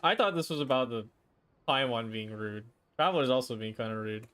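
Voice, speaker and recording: A man's voice: medium pitch, lively intonation, brisk, fairly bright tone. A man streaming a game with casual, playful commentary, muttering and exclaiming as he reacts. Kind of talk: casual live playthrough commentary.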